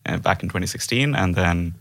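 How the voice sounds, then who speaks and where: A man's voice: low voice, fairly quick, creaky, a bit bright. A man in his thirties from India, a developer guesting on a company podcast, speaking casually with many fillers and restarts. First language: not Norwegian; Indian language. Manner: hesitant, many fillers and restarts, laughs often. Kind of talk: casual interview chat.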